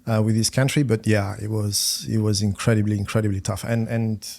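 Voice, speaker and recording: A middle-aged man from France, a finance executive speaking as a podcast guest, talking haltingly with many repeated words and fillers. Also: on the low side, flat delivery, creaky, a little mellow. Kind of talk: conversational podcast interview.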